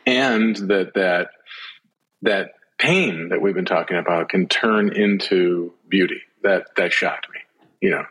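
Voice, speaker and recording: Husky, low-pitched middle-aged man. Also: a bit bright, rough recording. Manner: earnest, halting, repeats words as he thinks. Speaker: interview guest. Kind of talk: reflective personal conversation.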